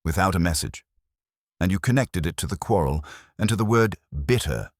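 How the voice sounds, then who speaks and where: A man acts out a ponderous, commanding detective in a mystery audiobook. The very deep, rough-edged voice delivers formal, exacting sentences with pompous authority. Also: animated, normal speed, dry recording, studio quality. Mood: measured scrutiny.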